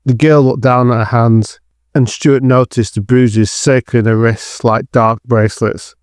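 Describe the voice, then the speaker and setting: A man's voice: medium pitch, steady tone, unhurried, a little mellow, no echo, studio quality. A man narrating a scripted dramatic thriller as the storyteller of an audio drama.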